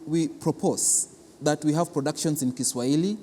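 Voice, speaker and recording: A man's voice: fairly high pitch, normal speed, warm tone, in a large hall. A man with a Kenyan accent giving explanatory interview answers as an official, hesitant and often repeating words as he goes. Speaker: cultural centre official, interview guest.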